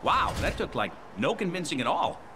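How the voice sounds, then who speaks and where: A cheerful young robot scout chatters eagerly. His high voice bounces all over. Loud music plays behind. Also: big pitch swings, normal speed, a little hiss or hum.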